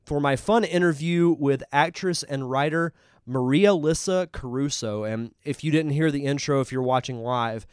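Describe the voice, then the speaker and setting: A man's voice: a little high, moderate pace, smooth, no room sound, studio quality. A man with a congested voice hosting a live podcast, chatting casually and enthusiastically as he reviews a film. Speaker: podcast host.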